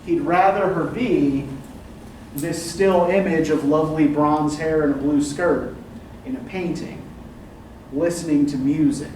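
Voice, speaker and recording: A man's voice: fairly high pitch, fairly even intonation, measured pace, a little mellow. A male literature professor leading a class discussion, talking thoughtfully and checking in with "right?" as he goes. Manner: thoughtful, often adds "right?" and "um". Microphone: distant room recording. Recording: echoey classroom, distant mic, background noise.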